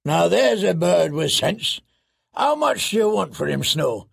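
A man as a high-pitched, blustering wildling chieftain. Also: big pitch swings, unhurried, vocal fry, slightly rough, exaggerated voice. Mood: jovial. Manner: blustering, bawdy, roaring. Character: boisterous, crude, red-faced wildling warrior chieftain, laughing and roaring.

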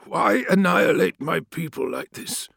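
A man's voice: on the high side, unhurried, vocal fry, fairly bright tone, no room sound, studio quality, pitched above the performer's own voice, exaggerated voice. A man voicing a dying grey-haired warlord priest who wheezes through his wounds and screams in a bitter, defiant tirade. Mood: sneering.

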